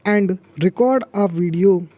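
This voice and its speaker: A man's voice: sits high, measured pace, mellow, dry recording. A man hosting a tech tutorial and walking through phone app steps in simple, broken English with repeated prompts.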